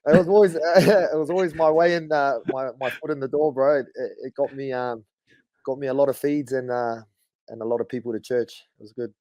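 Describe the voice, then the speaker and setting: A man's voice: mid-range voice, normal speed, dry recording. A man in his thirties with a New Zealand accent, telling stories at an easy pace in a casual podcast chat. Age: 30s. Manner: laid-back, relaxed storytelling with frequent "bro" and fillers. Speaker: guest, rugby league player.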